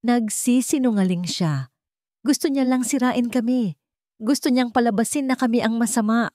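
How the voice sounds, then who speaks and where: A woman's voice: normal speed, mellow, no echo, clean studio sound, close to an everyday voice. A woman voicing a cornered, scheming daughter-in-law who cries out in a strained, pleading way. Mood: desperate. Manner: shrill and pleading.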